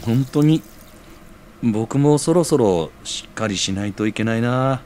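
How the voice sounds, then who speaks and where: An actor playing a mild, good-natured, slightly bashful bachelor in a scripted audio drama. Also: male voice, medium pitch, expressive, a little slow, a little mellow, a little hiss or hum, lightly acted. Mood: sheepish.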